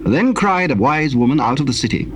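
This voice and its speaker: A man giving a solemn, measured scripted narration of dramatized scripture. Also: medium pitch, big pitch swings, over a noisy crowd. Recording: noisy cassette tape recording.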